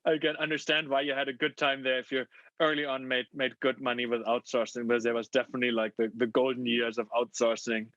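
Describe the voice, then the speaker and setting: A man in his thirties hosts a fireside chat over a video call, friendly but halting, stumbling through restarts and "um"s. His voice sits at an ordinary pitch, and the sound is dry and a bit muffled. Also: flat delivery, a bit bright, no room sound. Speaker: moderator. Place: home room.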